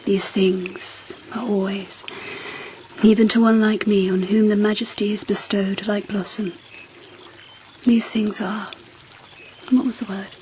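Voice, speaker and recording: An actress playing a regal, reflective empress in a radio drama, stately and measured in manner. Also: female voice, mid-range voice, level tone, mellow, a little hiss or hum, close to an everyday voice. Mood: wistful, musing. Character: regal, reflective empress of 10th century Japan.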